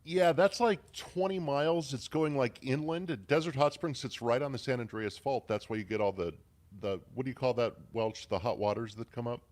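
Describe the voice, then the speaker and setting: A man's voice: mid-range voice, moderate pace, darkish tone. A man from California hosting a morning radio show, chatting casually and joking with his co-hosts from a remote location. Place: remote, away from the studio.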